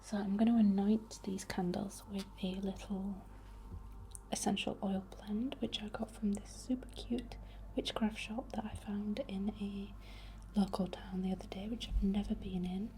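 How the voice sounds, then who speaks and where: Whispering woman in soft ASMR, background noise. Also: mid-range voice, little pitch movement, poor audio. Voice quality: breathy whisper. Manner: gentle, unhurried, chatty asides. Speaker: ASMR host. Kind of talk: whispered ASMR, guiding a healing spell.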